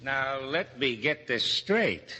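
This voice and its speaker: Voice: mid-range voice, expressive, slow pace, bright, forceful tone, exaggerated voice. An actor playing a cynical political adviser, blunt and hectoring, in an old-time radio drama. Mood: forceful, indignant. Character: hard-nosed, cynical male political adviser. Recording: reverberant old radio recording.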